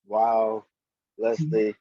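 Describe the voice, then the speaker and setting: A man hosting an online poetry reading, giving spontaneous, halting and appreciative commentary in muffled audio. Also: lowish voice, dry recording.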